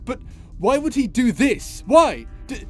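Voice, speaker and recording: A man's voice: extremely high pitch, very animated, slow pace, a little mellow, breathy edge, heavily acted. A man playing an impassioned space pirate captain in an audio roleplay, with a dramatic, emotive delivery full of sighs. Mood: incredulous outrage. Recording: voice over background music.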